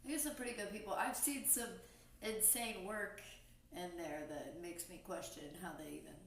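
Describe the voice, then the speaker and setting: A woman in her thirties chatting casually on a podcast, relaxed and laughing between lines. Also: mid-range voice, normal speed, distant mic. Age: 30s. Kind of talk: casual chat. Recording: echoey, off-mic, faint hiss.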